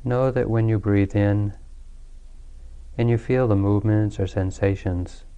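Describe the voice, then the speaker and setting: Guy doing guided meditation, calm and unhurried, low smooth voice, very even tone. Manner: calm, unhurried, soothing delivery with long pauses. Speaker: meditation teacher.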